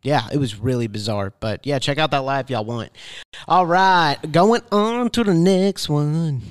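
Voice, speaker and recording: Smooth-voiced casual man, dry sound. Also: on the high side, measured pace, no room sound. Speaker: podcast host. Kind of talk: casual chat, reading listener questions.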